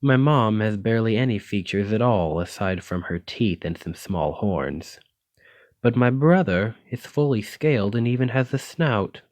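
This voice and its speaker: Dragon-blooded male librarian played by a man for ASMR romance: low voice, intimate, teasing, smitten and possessive, very dry close sound. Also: lively intonation, moderate pace, a little mellow, no room sound, natural voice. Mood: amused, explaining. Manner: intimate, teasing, uses pet names.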